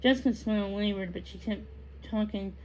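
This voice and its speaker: A woman reading a children's story aloud, haltingly, with stumbles and repeated words. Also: mid-range voice, a little mellow, slight background noise, poor audio. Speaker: storybook reader.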